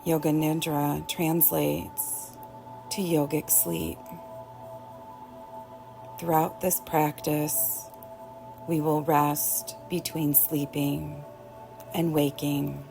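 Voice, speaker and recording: Very slow, soothing woman guiding meditation. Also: sits low, flat delivery, gravelly, poor audio. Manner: soothing, gentle, unhurried with long pauses. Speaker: yoga nidra teacher. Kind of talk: guided relaxation meditation. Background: soft background music.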